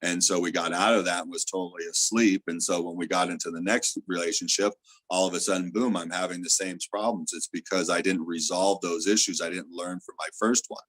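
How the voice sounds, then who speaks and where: A man with a loud voice, a theta healer, earnestly explaining his spiritual views in a casual interview. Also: deep voice, fairly even intonation, a little fast, no room sound, rough recording. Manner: earnest, explaining with many fillers.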